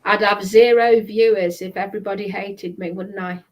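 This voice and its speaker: A woman's voice: medium pitch, steady tone, moderate pace, muffled recording. A woman live streamer delivering a confrontational monologue in an accusatory, emphatic, repetitive manner.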